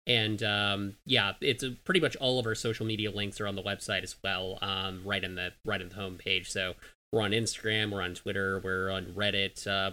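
A man with a somewhat low but bright, forceful voice, answering questions in an upbeat, relaxed way. He speaks casually. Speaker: guest, gun group member.

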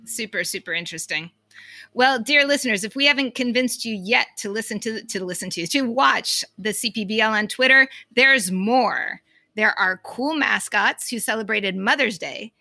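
A woman with a Boston accent, co-hosting a podcast in casual chat and reacting quickly to her partner. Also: a little high, creaky, pushed and bright, no echo. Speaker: podcast co-host.

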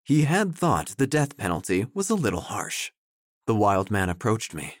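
An actor playing an earnest, wordy obituary writer who narrates an audio drama in a deliberate, deadpan way. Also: male voice, lowish voice, a little hiss or hum. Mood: deadpan, florid. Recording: faint music behind.

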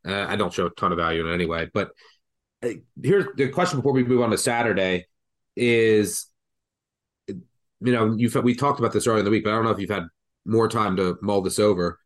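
Brief: congested male podcast co-host, lowish voice, rambling betting chat with restarts. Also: moderate pace, fairly bright tone. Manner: rambling, thinks aloud with restarts. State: congested from the flu. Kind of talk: casual betting analysis chat.

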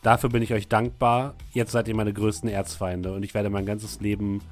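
A man's voice: on the low side, little pitch movement, normal speed, soft background music, natural voice. A man voicing a bitter young man who turns on former helpers and vows revenge, in a grim, solemn tone. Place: online voice chat.

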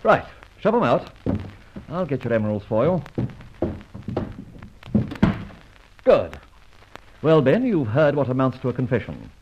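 A man playing a suave, cocky gentleman adventurer in an old radio serial, breezy and teasing with flourished phrases. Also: mid-range voice, big pitch swings, normal speed, noisy background, natural voice. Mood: smugly amused. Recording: dull, muffled old radio recording.

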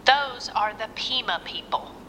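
A woman playing a gracious, devout Southern preacher's wife in an audio drama, speaking gently and formally. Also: a little high, measured pace, long reverb tail, slight background noise. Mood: serenely devout. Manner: gentle, formal, scripture-quoting.

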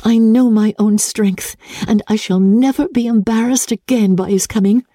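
A woman voicing a gentle, earnest and composed young gentlewoman in a dramatic audiobook reading. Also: fairly high pitch, steady tone, normal speed, no room sound, studio quality, voice pushed up, natural voice. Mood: cheerful assurance.

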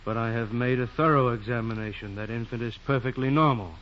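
Actor as a skeptical physician, medium pitch, formal, incredulous, muffled vintage radio drama. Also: male voice, a little fast, slight background noise, natural voice. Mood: puzzled, incredulous. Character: skeptical, formal consulting physician, puzzled colleague. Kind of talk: 1940s radio drama acting. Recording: muffled old radio broadcast.

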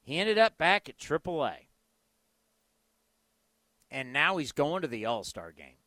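A man's voice: on the high side, sing-song, measured pace, pushed and bright, no room sound. A man hosting a sports radio show, delivering an impassioned, emphatic, conversational monologue.

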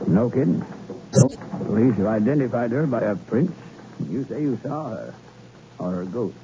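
A man's voice: medium pitch, animated, moderate pace, natural voice. An actor playing a wry, obliging newspaperman in a 1960s radio thriller, talking in an offhand, musing way. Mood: wry, helpful. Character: wry, obliging newspaperman digging through files. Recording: muffled phone-like hissy old radio recording.

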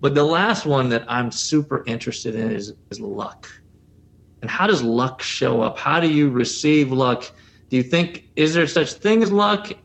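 A man who works as a coach, guest on a podcast, asking long, thoughtful questions at an unhurried pace. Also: mid-range voice, expressive, gravelly. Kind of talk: casual podcast conversation.

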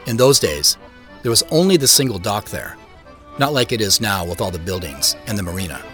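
An understated first-person narrator, lively intonation. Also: male voice, fairly low pitch, fairly quick, soft background music. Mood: nostalgic, measured storytelling. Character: solitary homeowner telling his own story, private, matter-of-fact. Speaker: narrator of an audio drama.

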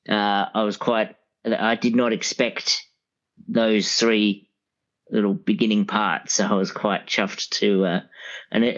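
A man speaking as a guest reviewer in a casual, halting, conversational way. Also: fairly low pitch. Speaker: audiobook expert guest. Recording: dull, lo-fi sound.

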